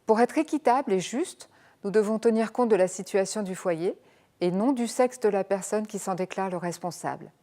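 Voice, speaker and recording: A woman candidate making a measured, earnest campaign statement. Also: medium pitch, mellow.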